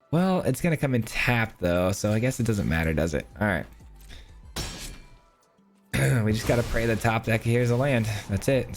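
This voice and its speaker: Hoarse guy doing chill upbeat card game commentary, losing his voice, soft music in the back. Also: medium pitch. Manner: chatty, upbeat, thinking aloud. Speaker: gaming channel host. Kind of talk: casual live gameplay commentary.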